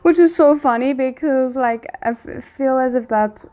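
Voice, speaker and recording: A woman giving casual, spontaneous reaction commentary as a video host, thinking aloud in an animated, exclamatory way. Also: high-pitched, moderate pace.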